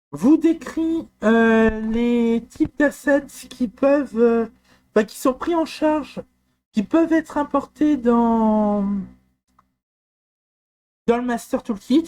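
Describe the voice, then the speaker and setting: A man from France talking through a software walkthrough, hesitant with frequent fillers and restarts. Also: very high voice. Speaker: software tutorial presenter. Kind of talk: screen-recorded walkthrough.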